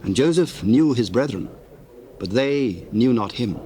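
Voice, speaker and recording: A man narrating scripture in a solemn, measured, formal way with theatrical diction. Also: medium pitch, lively intonation, dark tone, a little hiss or hum.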